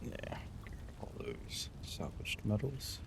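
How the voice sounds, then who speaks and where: A man's voice: on the low side, wildly swooping pitch, takes it slowly, gravelly, background noise. A man doing casual live game commentary, muttering short, fragmented remarks to himself as he plays. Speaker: game streamer.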